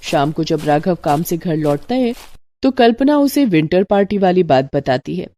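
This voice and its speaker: A woman's voice: lowish voice, sing-song, normal speed, mellow. A woman narrating a scripted moral story as a storyteller.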